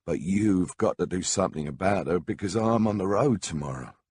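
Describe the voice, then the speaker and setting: A man's voice: low-pitched, lively intonation, fairly quick, darkish tone, husky, dry recording, clean studio sound. A man narrating a first-person memoir audiobook in a wry, conversational storytelling manner.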